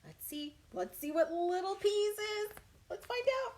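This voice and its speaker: A woman's voice: very high-pitched, unhurried, a little mellow. A woman working as a children's librarian, leading storytime with an exaggerated, encouraging manner and questions to young listeners. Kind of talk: animated children's storytime read-aloud and chat.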